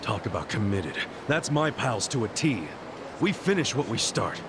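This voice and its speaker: An actor playing a defiant, cocky, big-hearted gangster hero in a video game cutscene, brash and informal. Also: male voice, mid-range voice, big pitch swings, moderate pace, background noise. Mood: defiant, committed.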